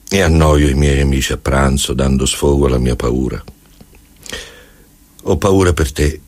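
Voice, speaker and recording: An actor playing a proud, worried middle-aged father in a radio play, reading out his letter in a husky, measured voice. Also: rumbling low, heavily acted.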